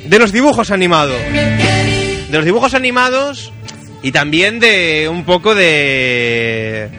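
A man's voice: high voice, big pitch swings, takes it slowly. A man with an accent from Spain hosting a casual radio chat show in a playful, teasing, exclamatory way. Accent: Spanish from Spain. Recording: music behind the voice.

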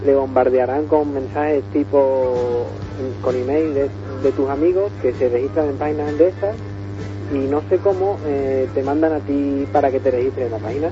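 A man's voice: medium pitch, steady tone, moderate pace, music in the background. A man from chatting casually as a caller on a radio phone-in. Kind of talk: casual chat. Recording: muffled lo-fi phone call on radio.

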